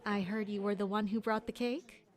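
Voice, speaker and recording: A woman's voice: mid-range voice, steady tone, moderate pace, natural voice. A woman playing a friendly, candid young bride-to-be of good standing in an audio drama, chatty and full of questions.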